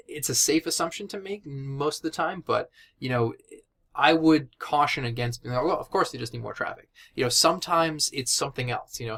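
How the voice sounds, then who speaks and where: A man with a mid-range voice teaches in a relaxed, informal way. He speaks like an instructor chatting directly to his students, loosely and conversationally, often tagging points with a quick check that they follow. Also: medium pitch, gravelly. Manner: informal and conversational, addresses listeners as guys, often checks with "right?". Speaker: instructor of a sales and digital marketing course. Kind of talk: casual instructional talk.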